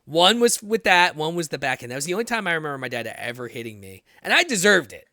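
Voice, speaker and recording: A man in his late thirties with a US Northeastern accent, hosting a podcast, blunt and animated, swearing freely as he tells stories. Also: fairly high pitch, fast, no echo, studio quality. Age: 30s. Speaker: podcast host. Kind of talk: casual interview talk.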